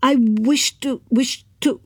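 A woman voicing a nervous young male scholar who stutters and wavers softly, in a storybook reading. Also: on the high side, slow, breathy edge, no echo, exaggerated voice. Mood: stammering. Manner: stuttering, hesitant, soft.

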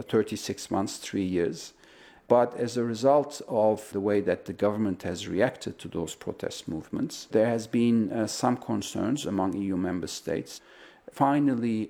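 Gravelly low-voiced male analyst. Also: a little mellow. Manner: hesitant, many uh fillers. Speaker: policy analyst. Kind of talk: interview answers.

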